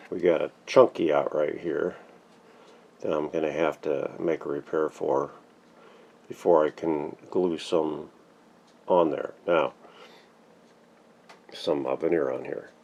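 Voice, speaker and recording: A man's voice: rumbling low, steady tone, slow pace, vocal fry, long pauses, a little mellow. A man giving a casual, plainspoken hands-on workshop demonstration, narrating each step as he works. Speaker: vintage radio restorer and host. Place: home workshop.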